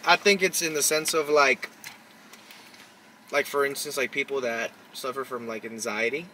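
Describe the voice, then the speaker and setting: A man's voice: mid-range voice, fairly even intonation, normal speed, pushed and bright. A man talking casually in a group chat with friends. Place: inside a car. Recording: noisy car interior, distant mic. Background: vehicle and road noise.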